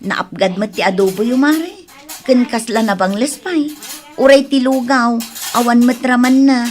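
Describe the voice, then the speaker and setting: A woman's voice: fairly high pitch, normal speed, natural voice. A woman playing an indignant complaining daughter with an Ilocano accent in a radio comedy drama. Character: indignant adult daughter complaining about bad food.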